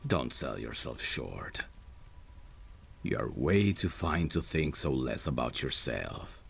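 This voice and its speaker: Very deep, gravelly, tender male friend played by a man. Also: sing-song, a bit bright, slight background noise, exaggerated voice. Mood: tender, concerned. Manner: tender, soothing, unhurried. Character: protective lovesick male friend, gentle and devoted. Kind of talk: ASMR romantic roleplay, slow intimate delivery.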